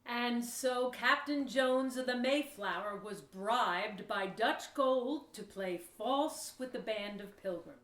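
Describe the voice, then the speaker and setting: A woman's voice: a little high, slow pace, hardly any pauses, a bit bright, faint music behind. A woman reading scripted storytelling narration for a historical audio play. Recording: roomy, echoing room sound.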